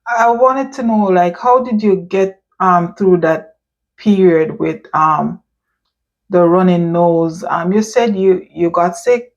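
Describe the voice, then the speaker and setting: A woman interviewer asking questions slowly and hesitantly, with many fillers. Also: lowish voice, lo-fi sound.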